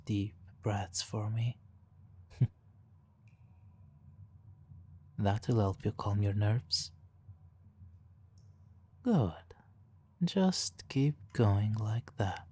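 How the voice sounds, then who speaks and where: A man playing a suave, teasing, hypnotically controlling supervillain in a soft, intimate ASMR roleplay, condescending and purring, breathy in places. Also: fairly low pitch, heavily acted. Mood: soothing, commanding. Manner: condescending, purring, drawn-out pauses, soft chuckles.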